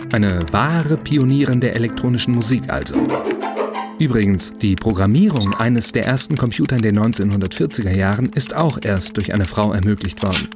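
A man narrates a scripted audio feature in a fairly low voice. Music plays clearly underneath him. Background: music behind the voice.

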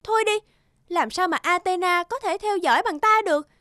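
A woman voicing an arrogant, boastful young peasant woman in an animated fairy tale, haughty and scornful. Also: very high-pitched, fairly quick, no room sound, studio quality. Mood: haughty, defiant.

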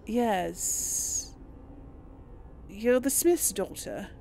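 A woman's voice: mid-range voice, expressive, drawn-out words, vocal fry, dark tone, a little hiss or hum. A woman giving casual, chatty gameplay commentary, talking to herself and to the game's characters. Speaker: host of a role-play gaming series. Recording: game music behind the voice. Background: video game music.

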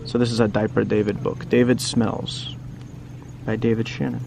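A man with a low-key crackle in his voice reads a picture book to young viewers in a casual, chatty way. He keeps turning to the listener with questions. Outdoor noise and passing traffic sit clearly behind him. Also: flat delivery, normal speed. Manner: informal, asks the listener frequent questions and tag questions. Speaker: children's book reader and teacher.

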